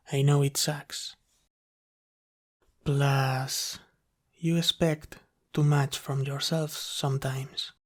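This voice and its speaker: Man playing a slow, gentle, comforting demon. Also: a little high. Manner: soft-spoken, reassuring, unhurried with pauses. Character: gentle, caring male sleep paralysis demon comforting his human. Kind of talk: ASMR roleplay, soothing sleep aid.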